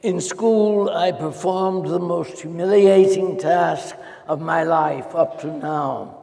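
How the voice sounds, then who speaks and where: Male actor as humiliated teacher, gravelly, very slow, weighty pauses, reverberant hall, theatrical diary monologue. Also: a little high, mellow. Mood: humiliated, weary. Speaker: stage reader in a dramatic reading. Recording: echoey hall with long reverb.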